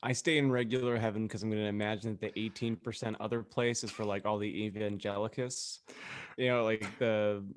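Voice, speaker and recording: A man's voice: lowish voice, little pitch movement, brisk. A man co-hosting a comedy podcast, riffing casually in a sardonic way. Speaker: podcast host.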